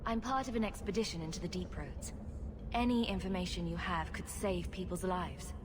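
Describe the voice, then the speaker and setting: A woman's voice: on the low side, moderate pace, noisy background, natural voice. An actress playing a self-assured, witty female adventurer with a cool, direct manner in a video game. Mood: inquisitive. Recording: music clearly behind the voice.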